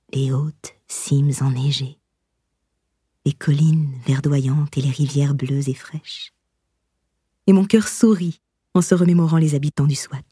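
A woman with a low, very breathy and husky voice reads a memoir as an audiobook narrator. Her tone is dark and soft-edged. The delivery is measured and expressive, recorded dry and close. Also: no echo.

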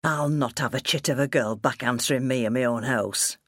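A woman's voice: rumbling low, normal speed, a bit bright, dry recording, studio quality. A woman voicing a stern, gaunt farmer father in an audiobook, with a growling, sarcastic manner and rustic dialect. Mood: threatening. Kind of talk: audiobook character dialogue.

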